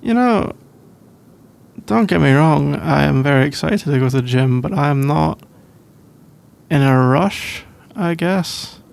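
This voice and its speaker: A man's voice: fairly high pitch, expressive, gravelly, smooth. A man with a British accent playing a sleepy, shy college boyfriend in an intimate ASMR roleplay, hesitant and rambling. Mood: flustered, amused. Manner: hesitant, halting, rambling with soft laughs. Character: sleepy, shy, easygoing young male college student boyfriend.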